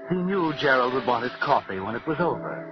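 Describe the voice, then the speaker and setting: Dull vintage radio sound with music underneath carries a sinister male storyteller, played by an actor. He narrates a 1940s mystery in an ominous, measured and theatrical way, as if he knows every dark secret. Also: medium pitch, sing-song, close to an everyday voice. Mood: grim storytelling. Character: the Whistler, sinister all-knowing male narrator who walks by night.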